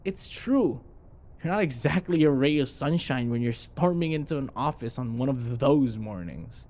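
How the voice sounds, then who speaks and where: A man's voice: medium pitch, lively intonation, normal speed, darkish tone, lightly acted. A man acting a grumpy, sarcastic office rival who grudgingly cares, in an intimate, blunt, conversational roleplay style. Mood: teasing, exasperated. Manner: exasperated, blunt, conversational, sighing.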